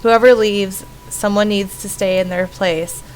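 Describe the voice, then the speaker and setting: A woman's voice: medium pitch, steady tone, measured pace, darkish tone, poor audio. A woman reading a visual novel aloud and commenting as she plays. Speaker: streamer playing a visual novel. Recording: faint background noise.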